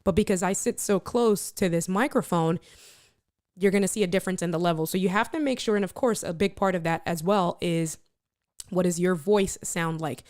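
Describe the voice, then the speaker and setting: A woman with a strong, loud voice hosting a live stream. She tests microphones and talks straight to her viewers in an energetic, playful way. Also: on the low side, normal speed. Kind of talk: casual live stream chat. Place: room with little furniture. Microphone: switching between test microphones at different distances.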